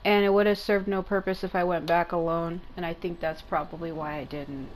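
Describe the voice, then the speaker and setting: A woman with a lowish voice gives casual gameplay commentary over clearly audible game music. She explains things in a rambling way, circling back to rephrase herself as she goes. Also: level tone, a little fast, low-quality recording. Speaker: gaming content creator. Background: video game music.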